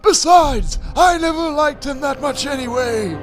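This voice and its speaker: A man voicing a mean, angry schoolteacher with loud, scornful outbursts in a dramatic fan fiction reading. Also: extremely high pitch, lively intonation, unhurried, voice pushed up. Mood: scornful anger. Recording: music playing behind the voice.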